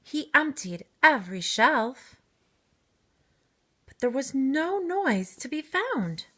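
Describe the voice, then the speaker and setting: A woman reading a children's storybook aloud in expressive narration. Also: high voice, takes it slowly. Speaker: storybook reader.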